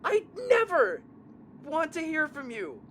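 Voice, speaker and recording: A woman playing a hurt, betrayed young friend in an audio drama, emotional and confrontational with halting delivery. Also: sits high, huge pitch swings, a bit bright, a little hiss or hum, heavily acted. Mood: bitter, furious.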